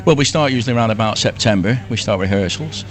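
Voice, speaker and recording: A man's voice: on the low side, quick pace, slight background noise. A man in his sixties with a Lancashire accent, chatting effusively as an interview guest and often repeating himself. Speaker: interview guest, amateur pantomime performer. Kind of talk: casual live radio interview.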